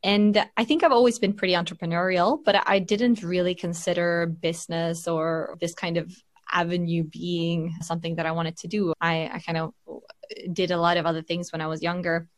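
A woman from Finland, a venture capital partner, talking through her background in a relaxed interview, with frequent fillers and restarts. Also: lowish voice, moderate pace, dry recording. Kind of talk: conversational podcast interview.

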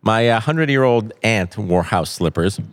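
A man's voice: lowish voice, animated, moderate pace. A man from Canada telling casual stories on a podcast, joking and swearing freely. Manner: profane, joking. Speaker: podcast panelist. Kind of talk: casual storytelling banter.

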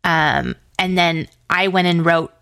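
A woman's voice: on the low side, level tone, takes it slowly, a bit bright, smooth, no echo. Enthusiastic woman chatting, close dry sound. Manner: gushing, enthusiastic, peppered with "like". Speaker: interview guest, audio drama writer and producer. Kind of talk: casual interview chat.

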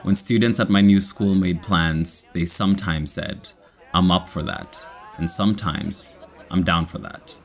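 Young Kenyan man, very low voice. Measured, clear scripted storytelling. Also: fairly even intonation. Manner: slow, clear, measured reading. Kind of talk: scripted personal story for learners.

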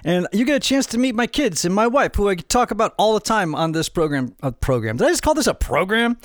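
A man's voice: sits high, sing-song, fairly quick, no echo, studio quality. A middle-aged man hosting a casual family podcast, upbeat and joking, rambling a little with fillers. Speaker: podcast host.

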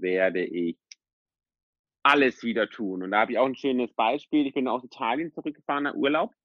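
A man co-hosting a podcast, telling personal stories casually in a halting, self-reflective way. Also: mid-range voice, no room sound. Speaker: podcast co-host. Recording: dull, slightly lo-fi dry audio.

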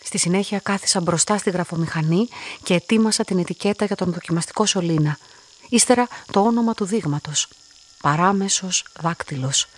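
An actress playing a solitary young woman in a radio play, polite, measured and introspective, quietly devoted and resolute. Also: on the low side, lightly acted. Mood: introspective narration. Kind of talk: radio drama acting and first-person narration.

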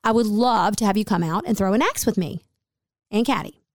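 A raspy-voiced woman in her forties, co-hosting a podcast in a chatty, rambling way full of fillers. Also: mid-range voice, a little fast, no room sound, clean studio sound. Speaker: podcast co-host. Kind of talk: casual podcast chat.

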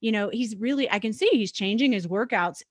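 A woman's voice: fairly high pitch, a little fast, dry recording, studio quality. Reflective woman in her forties, thinking aloud. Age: 40s. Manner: reflective, thinking out loud with many restarts. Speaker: podcast host. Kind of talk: casual conversational interview.

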